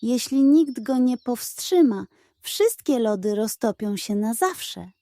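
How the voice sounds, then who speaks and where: A woman's voice: fairly high pitch, animated, slow pace, warm tone, dry recording. A woman voicing a wise, grave female owl in a children's audiobook, speaking urgently and emphatically. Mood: alarmed, grave.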